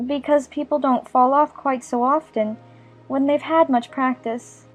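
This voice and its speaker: A woman's voice: high-pitched, steady tone, measured pace, soft background music. A woman playing a polite, curious young girl in a dramatized audiobook, speaking carefully and inquisitively. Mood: concerned, polite. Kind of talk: dramatized audiobook character acting.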